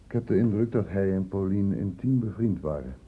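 An actor playing a shrewd, paternal doctor in a radio play, speaking in a measured, patronising, ironic way. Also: male voice, sits low, expressive, warm tone, slightly rough. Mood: cool, pointed. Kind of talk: radio play acting in Dutch. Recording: muffled, phone-like old radio recording.

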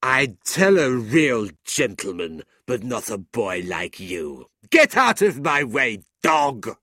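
A low-voiced, arrogant gentleman villain , acted out by a male narrator, drunk and full of scorn. He drawls very slowly in a storybook reading, with big swoops of sneering emphasis. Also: lively intonation, slightly rough, no room sound, studio quality, heavily acted. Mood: contemptuous. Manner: slow, sneering, drawling delivery. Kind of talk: audiobook character voice.

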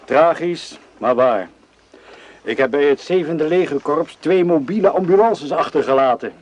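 An actor playing a brusque, commanding army surgeon who barks curt orders in a Dutch wartime radio play. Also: male voice, a little high, expressive, unhurried, highs cut off. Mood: brisk, commanding.

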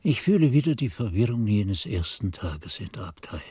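A man's voice: fairly low pitch, very animated, moderate pace, warm tone, husky, no room sound, clean studio sound, heavily acted. An actor playing an old monk who narrates his youth in a radio drama, musing and questioning his own memory. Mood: musing, bewildered.

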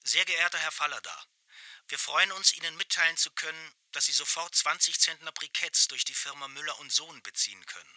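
Brief: formal bureaucrat, bright sharp voice. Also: male voice, fairly high pitch, a little fast, no echo. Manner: stiff, formal officialese. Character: formal male postwar official, bureaucratic. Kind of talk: radio drama official letter reading.

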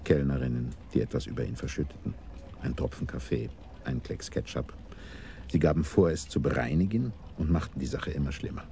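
An actor playing a cultured, self-satisfied schemer who talks with unhurried politeness in a German radio crime drama. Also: male voice, extremely low pitch, warm tone. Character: sly, cultured male schemer, self-styled keeper of order. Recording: clearly noisy 1980s radio drama recording.

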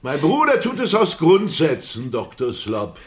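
An actor playing a mild, earnest, forgiving old gentleman in a literary radio play. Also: fairly high pitch, huge pitch swings, measured pace. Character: gentle, forgiving older brother Toby, military-minded old gentleman.

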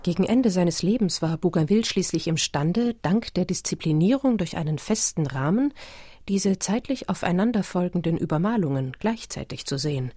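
A woman narrating a radio play in a measured, essayistic, literary manner. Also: fairly low pitch, expressive, dark tone, husky, no echo. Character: measured documentary narrator of explorer history.

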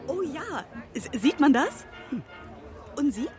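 A curious young waitress in a radio play, swooping in pitch and unhurried. She sounds eager and naive amid faint party murmur. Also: faint music behind, some chatter behind, exaggerated voice. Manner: lively, curious, brief questions. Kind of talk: radio drama acting.

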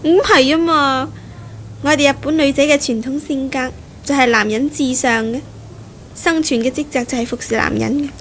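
A woman's voice: high-pitched, fairly even intonation, moderate pace, a bit bright. A woman with a Hong Kong Cantonese accent playing a sweet, teasing young bride-to-be in a playful, coy manner in a 1970s radio drama. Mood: playful, affectionate. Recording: noisy old radio drama recording.

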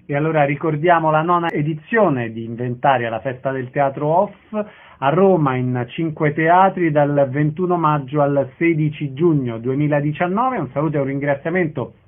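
A man with a fairly high voice works as a radio interviewer. He puts questions to guests and presents arts events in a broadcast interview style. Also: normal speed, narrow, tinny audio.

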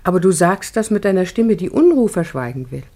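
An actress playing a tender, devoted young biblical bride with lyrical, earnest, theatrical delivery in a classical radio drama. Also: female voice, lowish voice, big pitch swings, brisk, dark tone. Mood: anxious concern.

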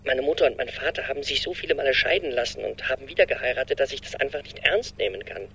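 An actor in a radio play playing an anxious, hesitant boyfriend who speaks earnestly and haltingly into the phone. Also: male voice, mid-range voice, sharp tone, slight background noise. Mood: pained, reluctant.

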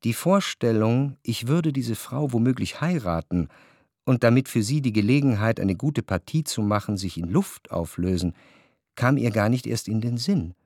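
An actor playing an introspective, melancholy narrator, delivering literary radio drama narration in a measured, reflective way. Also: male voice, medium pitch, expressive, a little mellow, no echo. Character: introspective, melancholy male narrator recalling his past. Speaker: first-person narrator. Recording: studio narration with faint music.

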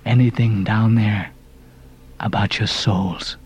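A man's voice: on the low side, fairly even intonation, slow pace, darkish tone, husky, voice pushed down, heavily acted. A man voicing a ragged old sailor stranger in an audiobook, cryptic and derisive, with emphatic archaic seafaring talk. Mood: cryptic, mocking. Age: older. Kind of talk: audiobook character reading.

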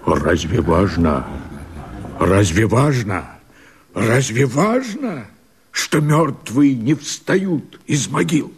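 An actor playing a fiery rebel leader who proclaims himself emperor, declaiming verse in a radio play with husky, impassioned, theatrical oratory. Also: male voice, medium pitch, big pitch swings, very slow, slight background noise, exaggerated voice. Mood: insistent.

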